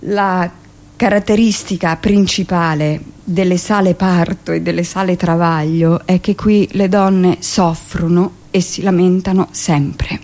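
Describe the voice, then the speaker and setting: An actress playing a hardened middle-aged midwife who confesses and justifies herself in an insistent, expressive radio monologue. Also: female voice, on the low side, a little slow. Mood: indignant, aggrieved.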